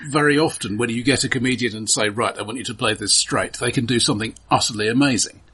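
A man co-hosting a casual film discussion, halting and repeating words as he builds his point. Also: mid-range voice, bright, forceful tone, slightly rough. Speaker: film podcast co-host.

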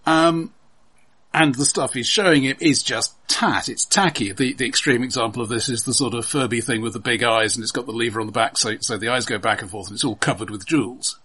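A man co-hosting a film discussion podcast, explaining his points in a casual, measured, halting way. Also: mid-range voice, pushed and bright, breathy edge. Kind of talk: casual film analysis chat.

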